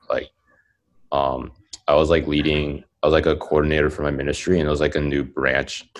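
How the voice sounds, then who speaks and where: Male, very low pitch, reflective, casual, filler-heavy talker. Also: gravelly. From grew up speaking Cantonese at home. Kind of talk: casual group chat.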